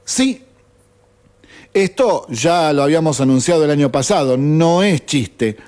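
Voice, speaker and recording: An Argentine man on the radio rants with open indignation, firing off rhetorical questions at his listeners. His voice sits a little high for a man. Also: animated, measured pace, darkish tone. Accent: Argentine Spanish. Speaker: radio host. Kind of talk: opinion monologue on radio.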